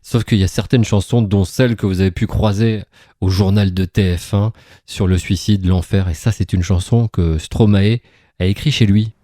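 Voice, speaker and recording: A man's voice: fairly low pitch, steady tone, moderate pace, mellow, no echo, studio quality. A man presenting on radio in a conversational, explanatory way, speaking directly to the listeners. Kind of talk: conversational radio presentation linking interview clips.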